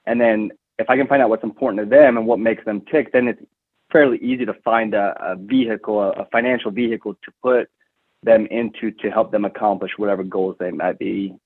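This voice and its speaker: A thin, dry, phone-like connection carries a man with a fairly low voice. He is a podcast guest explaining his work as a wealth manager in plain conversational terms. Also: husky, no echo. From Utah, United States.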